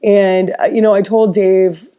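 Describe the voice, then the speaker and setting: A woman's voice: mid-range voice, level tone, warm tone, clean tone. Stuffy-nosed woman rambling in a vlog. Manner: rambling, halting, many restarts. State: stuffed-up nose, slight cough. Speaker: interior designer vlogger. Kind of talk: casual personal vlog.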